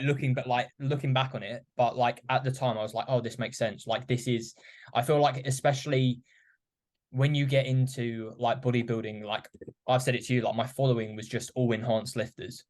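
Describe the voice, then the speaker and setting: Male host, casual chat, flat even delivery, filler-heavy. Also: mid-range voice. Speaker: podcast host.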